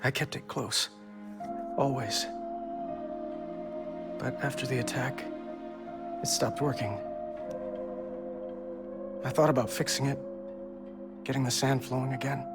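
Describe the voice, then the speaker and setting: A man's voice: mid-range voice, fairly even intonation, unhurried, long pauses, natural voice. An actor playing a humbled, earnest repairman in a cinematic video game, speaking plainly and from the heart. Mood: wistful. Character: humbled, earnest repairman in love, making amends. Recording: music behind the voice.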